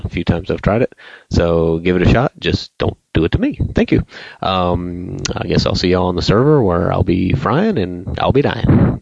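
A man giving a casual gameplay tip as a listener contributor, halting as he goes, on a dull, dry lo-fi recording. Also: sits low, vocal fry, dry recording.

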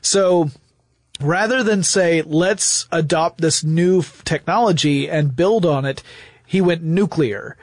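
High-pitched man telling history, dry sound. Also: slow pace, dry recording. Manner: storytelling, emphatic repetition. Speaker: podcast co-host. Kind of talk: conversational history explainer.

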